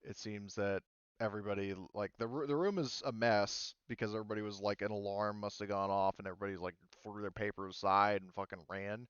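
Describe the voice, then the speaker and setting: A man running a tabletop roleplaying game as game master, explaining informally and haltingly. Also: fairly low pitch, steady tone, a bit bright, clean tone, no room sound, lo-fi sound.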